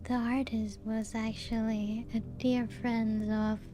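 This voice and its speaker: Woman as teasing female vampire castle guide, ASMR roleplay. Very slow, hushed delivery, higher-pitched. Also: little pitch movement. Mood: wistful, playful. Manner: slow, hushed, often breaking into whispers and soft chuckles. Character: playful, teasing female vampire showing guests her ancient castle. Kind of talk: soft-spoken ASMR roleplay. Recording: music playing behind the voice. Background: background music.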